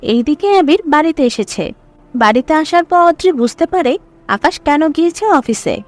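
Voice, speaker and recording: A woman's voice: sits high, animated, moderate pace, no room sound. A woman reading scripted narration for a serial story.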